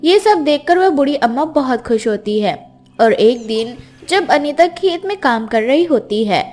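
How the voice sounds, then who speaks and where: A woman's voice: high voice, lively intonation, a little slow, darkish tone. A woman narrating a scripted children's fairy tale as storyteller. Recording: music behind the voice.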